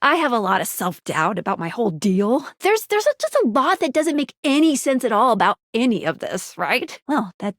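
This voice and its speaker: High, animated, perky tutorial guide. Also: female voice, moderate pace, few pauses, dry recording, clean studio sound, full character voice. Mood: nervous, doubtful. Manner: chirpy, quippy, awkwardly stammering, eager to help. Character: perky, self-doubting talking dating-glasses tutorial guide, eager and friendly. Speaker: video game tutorial guide character. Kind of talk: animated video game voice acting.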